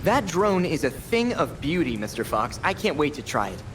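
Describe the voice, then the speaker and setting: Game hero: young man, higher register, lively inflection, eager, wry, boyish sidekick. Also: normal speed, poor audio. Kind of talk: cinematic video game acting.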